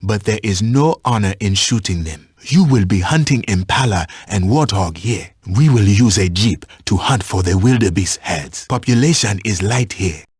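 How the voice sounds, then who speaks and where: A man playing a watchful African safari hunting guide in a video game, giving instructions in measured, formal phrasing. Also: on the low side, animated, darkish tone, husky, dry recording, clean studio sound. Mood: calm, instructive. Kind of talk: scripted video game voice lines.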